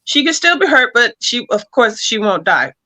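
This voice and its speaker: Bright-voiced woman explaining casually. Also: fairly high pitch, sing-song, moderate pace. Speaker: comic creator guest. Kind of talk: casual explanatory interview chat.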